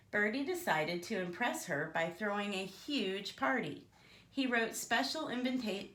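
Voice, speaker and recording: A woman reads a children's story aloud in a theatrical, expressive way. Her intonation rises and falls widely. The sound is roomy, with noticeable echo. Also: medium pitch, a little hiss or hum. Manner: theatrical, asides to young listeners. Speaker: storybook reader.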